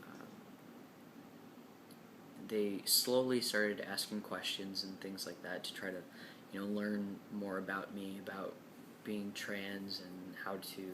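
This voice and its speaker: A young man with a low voice that stays fairly level, speaking frankly and casually. He pauses often and repeats words as he works through his answers. A steady noise sits under the recording. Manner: frank and matter-of-fact, with many "um"s and repeats. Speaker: speaker answering class questions. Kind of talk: casual talk to camera.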